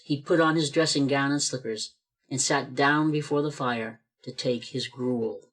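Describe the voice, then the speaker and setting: Expressive male radio play narrator. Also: on the high side. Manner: expressive storytelling, building suspense. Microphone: video call.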